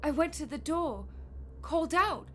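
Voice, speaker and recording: An actress playing a frail young woman under a spell in a radio horror drama, speaking in a halting, dreamy way. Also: high-pitched, expressive, slight background noise. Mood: uneasy, dreamy dread. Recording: loud music behind the voice.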